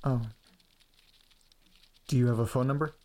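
A man's voice: mid-range voice, fairly even intonation, unhurried, darkish tone, lightly acted. A man playing an awkward, eager and slightly goofy fellow in an audio drama, casual and hesitant. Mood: curious. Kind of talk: naturalistic audio drama acting.

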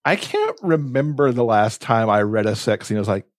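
A man's voice: mid-range voice, lively intonation, normal speed, dry recording, clean studio sound. A man co-hosting a podcast, talking casually in conversation. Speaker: podcast co-host.

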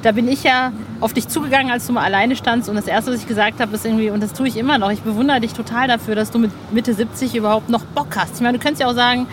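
A woman hosting a podcast, chatting in a lively, enthusiastic way with fillers. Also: a little high, rapid-fire, few pauses, background noise. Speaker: podcast host. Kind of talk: casual podcast chat. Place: rooftop terrace outdoors, construction noise nearby.

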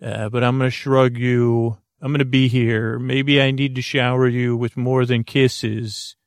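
Drowsy gravelly-voiced man rambling softly. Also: medium pitch, level tone, no echo, studio quality. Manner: halting, full of fillers and restarts, gently joking. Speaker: sleep podcast host. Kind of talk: rambling bedtime talk.

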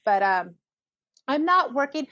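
A woman's voice: high-pitched, very animated, a little slow, pushed and bright, no room sound, dull audio. A woman talking casually and eagerly, rambling with frequent restarts. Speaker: herbalist guest. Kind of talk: casual conversation.

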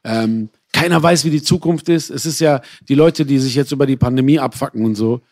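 A man from Iran who grew up in Germany tells his story as an interview guest. He speaks casually and colloquially, often digressing and correcting himself. His mid-pitched voice is recorded dry, with very little room sound. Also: brisk, darkish tone, no room sound. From born in Iran, grew up in Germany.

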